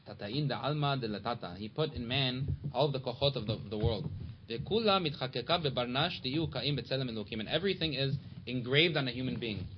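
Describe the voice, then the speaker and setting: A man teaching a casual Torah class to a small study group, chatty and quick, reading Hebrew and Aramaic passages aloud. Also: mid-range voice. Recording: dull lo-fi recording with steady background noise.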